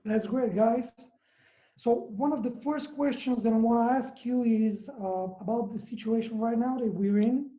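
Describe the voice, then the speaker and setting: A woman from Bulgaria, a DJ moderating a video call panel, talking quickly and warmly. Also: on the high side. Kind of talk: casual video call chat. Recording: echoey room, dull lo-fi sound.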